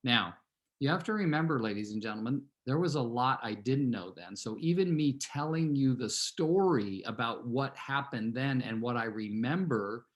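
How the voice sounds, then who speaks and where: A man in his sixties from California, a real estate team leader telling a rambling personal story to his team on a video call, often turning to address his listeners directly. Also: medium pitch. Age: 60s.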